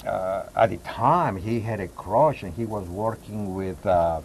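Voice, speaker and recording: A man talks casually as an interview guest, haltingly, restarting phrases as he searches for words. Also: on the low side, darkish tone. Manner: halting, searching for words with repeated false starts. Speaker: guest, poetry reader. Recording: clear background noise and ambience.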